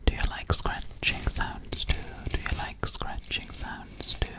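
Young woman, ASMR whisper, very close mic, unhurried, repeating words, alien girl roleplay. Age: young adult. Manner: slow, repetitive, lingering on single words. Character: alien girl hiding in a stranger's house. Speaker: ASMR performer. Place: small bedroom, indoors. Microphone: very close to the microphone.

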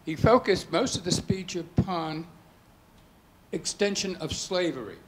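Higher-voiced man asking from the audience. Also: lively intonation, measured pace, poor audio. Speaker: audience member asking a question. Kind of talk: spoken question at a public talk. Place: lecture hall audience seats.

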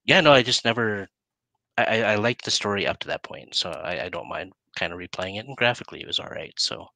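A man's voice: lowish voice, very animated, quick pace, pushed and bright, no echo. A middle-aged man chatting casually as an interview host in a rambling, self-interrupting way. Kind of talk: casual chat. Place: indoor room, video call. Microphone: headset.

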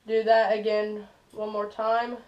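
A man's voice: sits high, completely flat delivery, unhurried. A teenage boy hosting a casual fishing how-to in a small bedroom, talking loosely with lots of "like" and "stuff like that.". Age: teens. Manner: loose, filler-heavy, "like" and "stuff like that". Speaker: fishing channel host. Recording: dull lo-fi camera audio.

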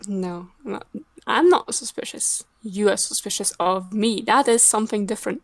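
A woman plays a sulky, teasing zombie girl in a survival roleplay game. Her pitch is average for a woman and her replies are clipped and blunt. The sound is dry, with no room echo. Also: moderate pace, vocal fry. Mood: defensive. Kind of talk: improvised Minecraft zombie roleplay, conversational.

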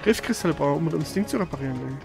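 A man's voice: fairly high pitch, expressive, rapid-fire, vocal fry. A man giving casual, blunt and irritable commentary as he plays a video game. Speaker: let's player. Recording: game music behind. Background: video game music.

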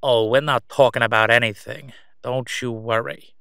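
Smooth male crime boss, acted by a man, cocky, sneering, folksy gangster patter, audio roleplay, dry sound. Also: medium pitch, steady tone, moderate pace, bright, forceful tone, no room sound. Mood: gloating, mocking.

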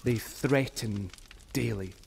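Radio play: dying Scottish poet, mid-range, formal, measured letter reading. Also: male voice, medium pitch, vocal fry, noisy background. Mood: solemn, resigned. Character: dying Scottish poet, earnest, reciting his farewell letter.

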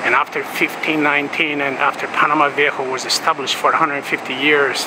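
A man guiding viewers through a church, explaining its history as he walks, in a relaxed way with many pauses. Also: a little high, background noise. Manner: relaxed, explanatory, pausing often. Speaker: travel vlogger. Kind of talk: walking tour narration. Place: inside a large church.

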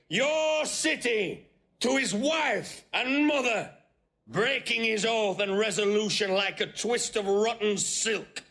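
Slow, high-voiced vengeful general. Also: male voice, sharp tone, heavily acted. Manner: declamatory, measured, oratorical. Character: vengeful Volscian general, bitter, taunting male warrior rival. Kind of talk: classical Shakespearean stage acting. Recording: faint noise, restored old recording.

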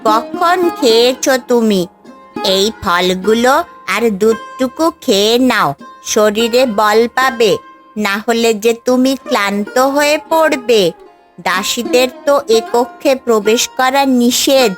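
A woman voicing a caring, loyal female parrot in a fairy-tale cartoon, coaxing gently. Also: fairly high pitch, slow pace. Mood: coaxing concern. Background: background music.

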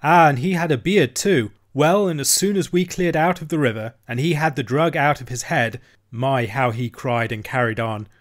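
A man's voice: mid-range voice, moderate pace, close to an everyday voice. A man voicing a boastful, half-witted young cabin boy who brags with a weak swagger. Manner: swaggering, sly, bragging.

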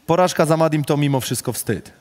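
A man hosting a rapid question round, reading statements aloud with a Polish accent and casually swearing. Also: fairly high pitch, darkish tone.

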